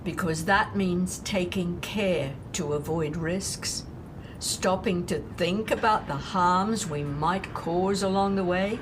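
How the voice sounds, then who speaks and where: A woman playing a despairing, scornful woman in an audio drama monologue, haltingly lecturing an unseen listener on humanity's end. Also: medium pitch, noisy background. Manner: deliberate, halting, rhetorical, addressing an unseen listener.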